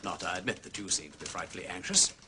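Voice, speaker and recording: An actor playing an urbane aristocratic gentleman sleuth with an upper-class English accent, drawling and probing with theatrical understatement in a radio drama. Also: low-pitched, quick pace, noisy background, close to an everyday voice.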